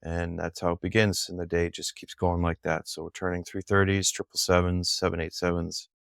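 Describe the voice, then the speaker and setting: A middle-aged man with a low, smooth, resonant voice, chatting as a podcast guest. He tells long, eager, rambling stories. The sound is close and dry. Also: little pitch movement, fairly quick, no room sound. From Canada. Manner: enthusiastic, rambling storyteller. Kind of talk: casual chat.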